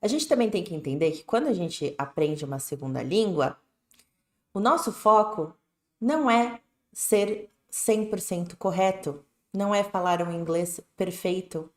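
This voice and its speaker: A woman's voice: fairly low pitch, animated, measured pace, darkish tone. A woman with a Brazilian Portuguese accent, teaching an English lesson on a live stream in a casual, direct way, often checking in with "né?" and "tá bom?". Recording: echoey room.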